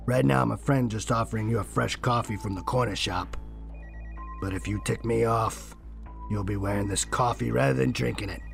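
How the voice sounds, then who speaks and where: A man's voice: fairly low pitch, moderate pace, creaky, faint music behind. A man playing a cool, sly police detective in an audio drama, needling and insinuating in a wry way. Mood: wry, warning.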